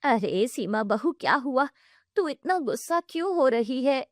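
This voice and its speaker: Cartoon role: a woman doing a bitter, scolding mother-in-law in a high voice. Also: expressive, unhurried, dry recording, studio quality. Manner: complaining, scolding. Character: harsh older mother-in-law.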